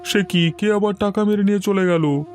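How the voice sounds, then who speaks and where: A man's voice: high voice, moderate pace, soft background music, close to an everyday voice. A man voicing a friendly village customer and matchmaker in a cartoon. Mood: concerned. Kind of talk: Bengali cartoon dialogue.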